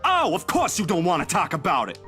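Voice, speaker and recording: A quick-tempered young man, voiced by a male reader whose high voice jumps all over the place. He sounds bright and edgy, talking back in casual slang over faint music. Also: wildly swooping pitch, full character voice. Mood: heated. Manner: indignant, swearing, with casual slang.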